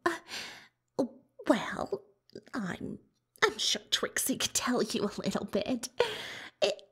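Cartoon unicorn showgirl magician, high-pitched, slow and drawn-out, soft ASMR hush, theatrical, self-important, full of excuses. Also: animated, slightly rough, exaggerated voice. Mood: confiding, put-upon. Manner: theatrical, self-important, speaks of herself in third person. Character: boastful young female unicorn stage magician, dramatic and self-excusing. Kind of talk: roleplay ASMR, soft-spoken and often whispered.

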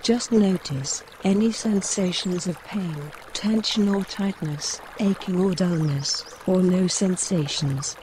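A woman gently guiding a mindfulness meditation in measured short phrases, with pauses between them. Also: fairly low pitch, slight background noise. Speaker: meditation guide. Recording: clear running stream water behind the voice. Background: trickling woodland stream.

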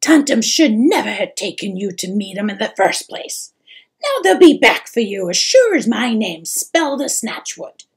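A husky, fretful troll mother from a fantasy storybook, acted out by a woman narrator. She speaks slowly and emphatically, fussing and grieving over her child with tearful, loving weight. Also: on the high side, sing-song, exaggerated voice. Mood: grumbling.

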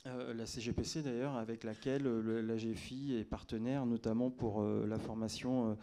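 Middle-aged male journalist moderating on stage, relaxed warm timbre, asking questions. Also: medium pitch, a little fast. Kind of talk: panel questioning. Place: conference auditorium stage. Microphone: handheld microphone.

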